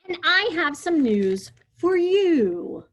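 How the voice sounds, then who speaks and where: A woman voicing a big, gentle male dinosaur in a storybook read-aloud, speaking patiently and politely. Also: fairly high pitch, very animated, extremely slow, slight background noise, full character voice. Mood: calm polite.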